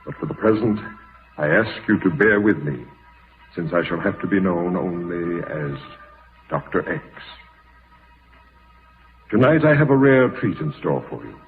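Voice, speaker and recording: A man's voice: sits low, lively intonation, unhurried, long pauses, soft background music. A man hosting and narrating an old-time radio horror tale in a solemn, formal, theatrical manner. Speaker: host and narrator. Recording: muffled, narrow-band vintage radio recording.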